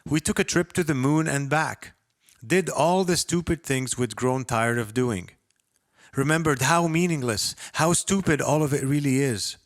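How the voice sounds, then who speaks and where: A man from Lebanon reading his own short story as a first-person narrator, with expressive, dramatic delivery. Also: mid-range voice, normal speed, no echo, clean studio sound.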